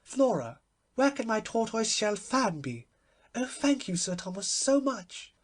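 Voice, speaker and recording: A man's voice: sits high, big pitch swings, unhurried, slightly rough, pitched above the performer's own voice, heavily acted. A man voicing a gushing, dithery old aristocratic duchess in an audiobook, fluttery and effusively polite. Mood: gushing, flustered. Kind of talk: literary audiobook character voice.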